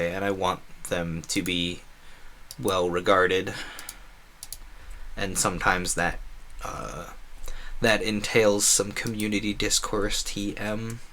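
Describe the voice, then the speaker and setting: A man's voice: on the low side, pushed and bright. A man giving casual, earnest and opinionated commentary on a gaming stream, talking in a rambling way. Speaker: game streamer.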